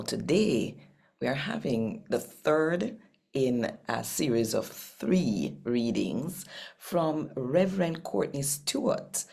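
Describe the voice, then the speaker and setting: Very low-voiced Jamaican woman, husky and unhurried. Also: a little mellow. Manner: slips between standard English and Patois. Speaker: channel host. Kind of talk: unhurried video introduction, addressing viewers.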